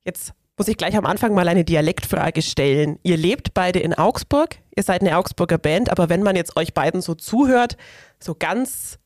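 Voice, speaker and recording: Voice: mid-range voice, normal speed, a little mellow, no room sound, clean studio sound. An interviewer asking a band friendly, curious questions. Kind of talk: interview host.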